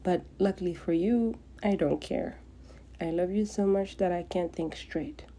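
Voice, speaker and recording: A woman's voice: lowish voice, steady tone, a little slow, mellow. A woman voicing an earnest, smitten young man who speaks tenderly in a romantic story. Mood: adoring.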